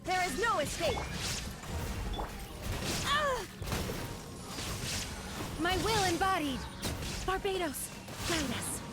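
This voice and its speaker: Very high-pitched battle heroine. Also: female voice, sing-song, slow, long pauses, heavily acted. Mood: determined battle cry. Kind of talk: shouted video game battle lines. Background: loud game music and combat effects.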